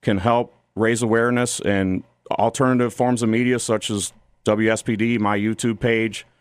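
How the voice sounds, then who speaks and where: Smooth, lowish-voiced American man. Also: steady tone, normal speed, no echo. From Toledo, Ohio, United States. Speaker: studio interview guest, YouTube commentator. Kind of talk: opinionated political radio interview. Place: radio studio.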